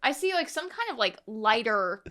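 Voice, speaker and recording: A woman's voice: a little high, big pitch swings, normal speed, sharp tone. A woman co-hosting a reaction show in casual chat, dropping in brief, dry interjections.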